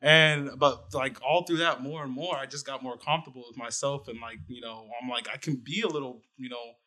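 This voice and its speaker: Young rapper dude, bright voice, kinda shy, keeps restarting. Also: male voice, medium pitch, steady tone, a little fast. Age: young adult. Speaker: rapper guest. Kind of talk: casual radio interview.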